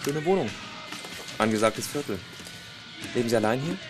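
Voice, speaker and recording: An actor playing a terse police detective in a radio crime drama, questioning in a dry, deadpan way. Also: male voice, mid-range voice, moderate pace, music in the background, lightly acted. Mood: coolly curious. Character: terse, dryly probing male police detective. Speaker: police chief inspector.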